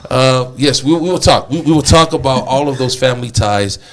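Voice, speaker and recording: A man hosting a casual talk show, lively and teasing with his guests. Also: mid-range voice, brisk.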